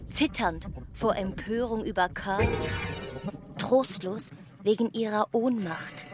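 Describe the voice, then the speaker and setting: A woman gives a scripted literary reading in a radio drama. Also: fairly high pitch. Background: music.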